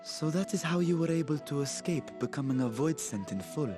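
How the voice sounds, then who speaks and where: A man's voice: a little high, animated, brisk, natural voice. A man playing a stoic, purposeful warrior in a video game cutscene, speaking in a formal, matter-of-fact way. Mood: stern, resolute. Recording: background music under the voice.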